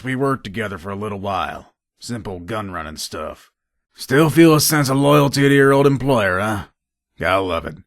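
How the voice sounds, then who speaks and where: A shrewd male gun trader and robber from a video game, played by a male actor. His voice sits a little low and moves up and down a lot as he makes his pitch. He is casual and businesslike, a hard bargainer, and the recording is close and dry. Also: a bit bright, no echo. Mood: casual, familiar. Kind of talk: video game character dialogue.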